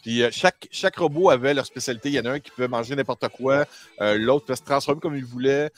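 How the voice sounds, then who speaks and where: A man's voice: medium pitch, fast. A man with a Quebec French accent hosting a casual group chat, explaining things in colloquial language. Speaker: host.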